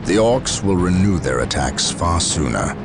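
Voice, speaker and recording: An actor playing a stern space marine commander in a video game, speaking with formal, authoritative military diction. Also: male voice, low voice, animated, a little slow. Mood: grave, resolute. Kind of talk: video game cutscene acting. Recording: loud music behind.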